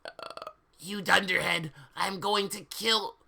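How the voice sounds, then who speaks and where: A man plays a menacing wizard professor, a storybook villain. He is mean and forceful, with halting threats that climb high and swell into yells. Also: fairly even intonation, gravelly, heavily acted. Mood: angry.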